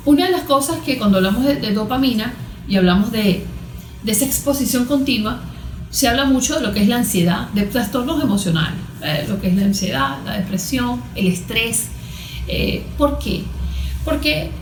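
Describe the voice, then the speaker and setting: A woman's voice: on the high side, slight background noise. A woman with a Venezuelan Spanish accent speaks as a guest therapist in an interview, explaining things didactically with everyday examples and questions she answers herself. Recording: roomy, echoing room sound.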